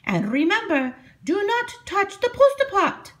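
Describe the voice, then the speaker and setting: A woman puts on a little old witch from a children's storybook, pitched way up high. She is kindly but bossy, issuing firm instructions in a lively singsong. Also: a little slow, exaggerated voice. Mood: brisk instructing.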